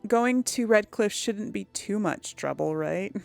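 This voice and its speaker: A woman's voice: medium pitch, lively intonation, unhurried, gravelly, over music. A woman giving casual, rambling commentary on a video game, thinking aloud to her viewers. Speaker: host of a video game let's play.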